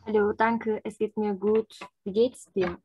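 Young turkish woman speaking german slowly, lots of ähm, dry close sound. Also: mid-range voice, flat delivery, breathy edge, no echo, lo-fi sound. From Turkey. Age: 20s. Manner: hesitant, many fillers. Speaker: nurse and language learner. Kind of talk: oral exam practice. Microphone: video call.

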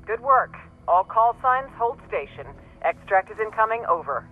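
An actor, a man, playing a brisk, official military controller on a radio in a video game. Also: high voice, animated. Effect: radio filter. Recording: thin narrow-band military radio.

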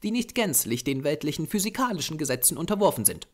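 A small, lean Japanese doctor of the occult , acted out by a man reading an audiobook. The voice is raised high and moves about a lot. He lectures patiently and formally, with earnest insistence. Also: sing-song, fast talker. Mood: lecturing. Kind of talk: audiobook character voice.

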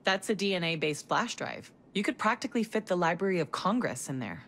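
A woman's voice: lowish voice, expressive, a little fast, fairly bright tone, slight background noise. An actress playing a skeptical female tech expert in a video game, with a dry, sarcastic delivery. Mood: wry. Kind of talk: cinematic video game acting.

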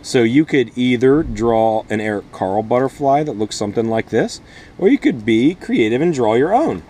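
A man in his thirties with a mid-pitched voice talks like a schoolteacher to children and their parents. He explains things step by step in plain, simple language, recorded on a camera close by in a small greenhouse. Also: mellow, a little hiss or hum. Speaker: schoolteacher host. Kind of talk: read-aloud and informal lesson addressed to children and parents. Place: inside a small plastic-sheeted wooden greenhouse.

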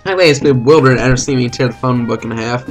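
A man's voice: mid-range voice, fairly quick, faint music behind. A man hosting a let's-play, reading visual novel text aloud with exaggerated, dramatic delivery.

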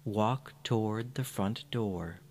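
A man narrating a scripted guided meditation in a soothing, gentle manner. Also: lowish voice, slight background noise. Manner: soothing, unhurried, gentle phrasing.

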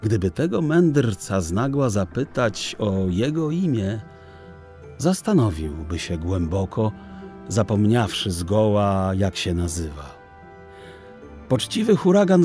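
A man narrating a children's story in an expressive, theatrical, deliberate literary manner. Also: fairly low pitch. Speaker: audiobook narrator.

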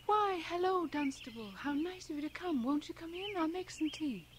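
An actress in a radio drama playing a gentle, serene, slightly strange village wife, speaking softly and kindly. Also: female voice, extremely high pitch, lively intonation, moderate pace, background noise. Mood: kindly, wistful. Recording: home cassette transfer, music underneath.

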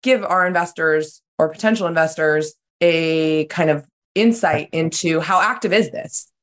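A woman's voice: low-pitched, measured pace. A woman co-hosting a podcast in a casual, upbeat way, her voice a little worn. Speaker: podcast co-host.

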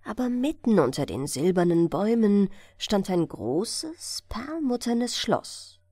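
Female audiobook narrator for bedtime fairy tales: unhurried, melodic intonation, gentle delivery, dry close sound. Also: medium pitch, big pitch swings, no echo.

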